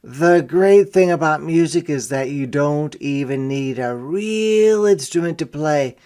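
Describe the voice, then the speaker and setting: Man, children's read-aloud, teacher, slightly higher pitch, unhurried pace, emphatic phrasing, explanatory asides. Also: darkish tone. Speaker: teacher reading a picture book aloud.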